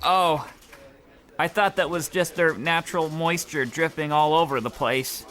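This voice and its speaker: A man's voice: a little high, measured pace, fairly bright tone. A man playing a meek, miserable old postal clerk, fussy and quaintly polite, in an old-time radio comedy style. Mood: meek, bemused.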